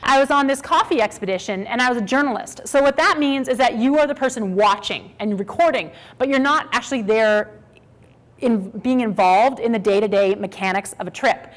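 A woman in her thirties from Minnesota, giving a lively, conversational stage talk to an audience. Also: fairly high pitch, fairly quick. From Minnesota, USA. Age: 30s. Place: small stage with brick wall backdrop.

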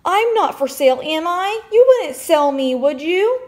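A woman's voice: very high voice, animated, unhurried, a little mellow, pitched above the performer's own voice. A woman voicing an anxious, sad young girl in a storybook, plaintive and earnest. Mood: upset, pleading. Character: anxious, sad young girl narrating her family's yard sale.

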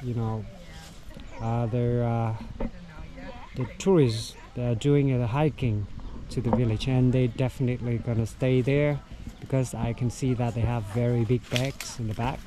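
A man with a Vietnamese accent, a tour guide vlogging casually while trekking outdoors, speaking halting English and searching for words, recorded on an action camera. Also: medium pitch, fairly even intonation, background noise. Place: outdoors on a mountain trail. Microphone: action camera carried along.

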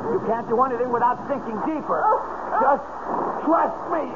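A brave young American warden in an old radio drama, urgent and commanding. His voice is strained very high for a man. Heavy noise surrounds him on a thin recording. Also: moderate pace, lo-fi sound. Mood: urgent, reassuring. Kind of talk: 1970s radio drama acting.

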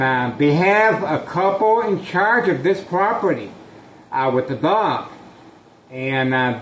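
Slow, halting man with a phone camera. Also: on the high side, roomy sound, a little hiss or hum, low-quality recording. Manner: halting, many fillers, intense and suspicious. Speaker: vlogger filming his street. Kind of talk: slow narrated street surveillance vlog.

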